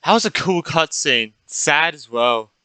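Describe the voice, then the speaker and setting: A man's voice: on the high side, expressive, measured pace, sharp tone, clear, resonant tone, dry recording. A man giving casual let's play reactions to a game, in short exclamations. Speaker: gaming streamer.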